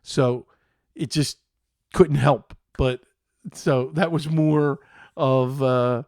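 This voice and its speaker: A middle-aged man from Philadelphia with an American accent, hosting a casual podcast in a halting, meandering, enthusiastic way. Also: medium pitch, fairly even intonation, a little mellow, no echo, clean studio sound. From Philadelphia, United States. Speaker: show host.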